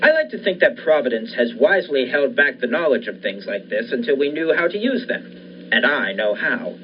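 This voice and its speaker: A man's voice: mid-range voice, sing-song, normal speed, natural voice. A young man playing an obsessed, commanding scientist and surgeon in the style of a 1940s radio thriller. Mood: grave, resolute. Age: young adult. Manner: commanding, insistent. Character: obsessed scientist surgeon bent on reviving his dead wife. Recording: dull lo-fi audio, faint noise.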